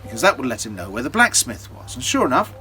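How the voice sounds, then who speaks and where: A man telling a folktale as a storyteller, in a theatrical, deliberate way with dramatic pauses. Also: medium pitch, very animated, heavy background noise.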